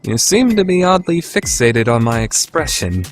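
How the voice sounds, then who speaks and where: A man playing a proud, overthinking high school student council president, grave and calculating in an anime radio play. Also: sing-song, moderate pace, natural voice. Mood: coolly calculating. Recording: background music under the voice.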